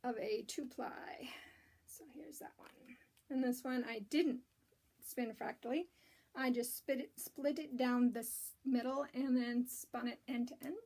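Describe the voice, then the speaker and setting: A woman with a raspy voice hosting a casual knitting and spinning show-and-tell, rambling with many ums and restarts. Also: fairly high pitch, darkish tone.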